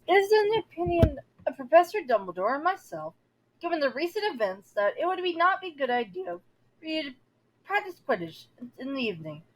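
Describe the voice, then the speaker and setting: Woman as strict older female teacher, prim and halting, high voice that swoops about, audio drama. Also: big pitch swings, slight background noise, rough recording. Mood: stern concern.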